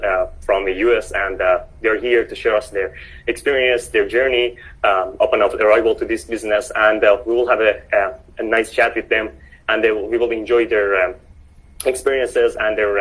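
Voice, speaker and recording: A man's voice: fairly low pitch, fairly bright tone, breathy edge, poor audio. A man hosting a casual online interview, speaking hesitantly with many fillers and restarts. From Canada. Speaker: host.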